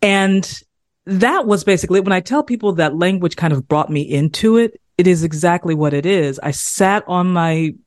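A woman from South Carolina, a playwright guest talking through her work in a relaxed interview. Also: fairly low pitch, moderate pace, dry recording, studio quality. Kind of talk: casual interview.

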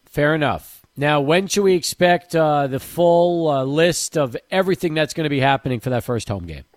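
Radio sports host guy, kinda high voice, dry studio sound, peppy. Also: moderate pace, dry recording. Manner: energetic, sets up segments and questions. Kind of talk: radio show hosting.

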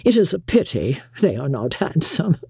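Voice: mid-range voice, big pitch swings, normal speed, creaky, dark tone, husky, no echo, clean studio sound, exaggerated voice. A narrator voicing a fussy, gushing middle-aged mother who rambles and boasts in an audiobook. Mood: smug, gossipy. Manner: rambling, gossipy, self-satisfied. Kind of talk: audiobook character dialogue.

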